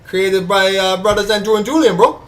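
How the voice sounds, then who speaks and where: Man doing a brash mock Cuban gangster, menacing ad read, high and loud. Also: brisk, distant mic. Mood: swaggering.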